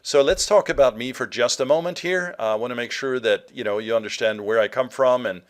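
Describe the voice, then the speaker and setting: A man from Norway with a mid-pitched voice leads a webinar as a marketer addressing his viewers directly. His delivery is conversational and a little halting, peppered with fillers as he explains his points. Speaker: presenter, digital marketer. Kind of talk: webinar sales presentation.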